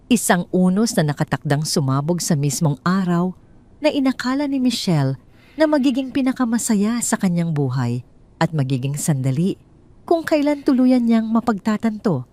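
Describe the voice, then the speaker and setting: A woman with a Filipino accent reading a scripted love drama as a storyteller, with measured, dramatic delivery. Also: fairly low pitch, dark tone, dry recording. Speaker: storyteller, narrator.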